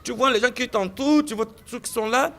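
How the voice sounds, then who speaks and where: A man giving a testimony in an interview, telling his story with animated delivery, stammered restarts and repeated phrases. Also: extremely high pitch, brisk. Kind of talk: recounting a personal story in an interview.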